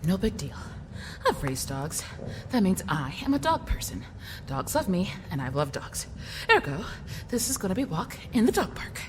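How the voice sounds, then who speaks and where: A woman's voice: lowish voice, very animated, moderate pace, noisy background. A woman playing a sardonic, feisty young warrior with a sarcastic manner in an audio drama. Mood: confident.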